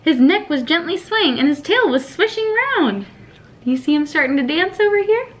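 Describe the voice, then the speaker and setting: High, animated woman reading a storybook. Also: moderate pace, a little hiss or hum. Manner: addresses young children directly with simple questions. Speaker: teacher reading a storybook to pupils. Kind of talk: expressive children's story reading.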